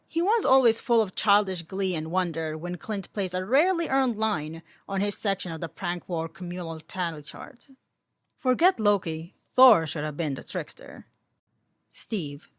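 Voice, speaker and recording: Female narrator for amateur audio fiction, lower register, scripted read, dry close-miked sound. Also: fairly bright tone, no echo.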